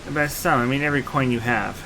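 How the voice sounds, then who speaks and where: A man's voice: mid-range voice, fast, creaky, background noise, close to an everyday voice. A male actor playing a swaggering, menacing bandit chief in a fantasy game, taunting with mock politeness. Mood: smug, menacing. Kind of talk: fantasy video game voice acting.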